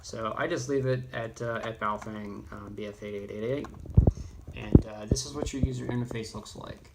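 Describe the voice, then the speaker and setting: A man presenting an informal, off-the-cuff software tutorial, halting and rambling as he thinks aloud. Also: on the low side, steady tone. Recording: clearly noisy, with keyboard clicks.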